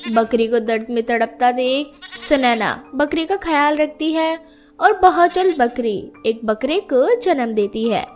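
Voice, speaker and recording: Casting: female narrator for moral tales, high-pitched, scripted storytelling delivery over faint music.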